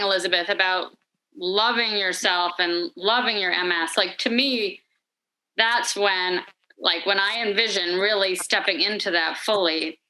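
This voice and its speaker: A woman's voice: mid-range voice, bright, forceful tone, low-quality recording. A woman in a casual group conversation, thinking aloud and searching for her words.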